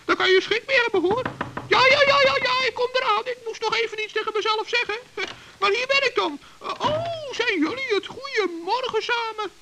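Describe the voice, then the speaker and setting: A man playing a small, proud, excitable forest gnome in a children's radio play, chatty and self-important, with a squeaky voice. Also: moderate pace, very noisy. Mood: cheery, flustered. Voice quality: high, creaky, squeaky. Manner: chatty, self-important, stammers when flustered.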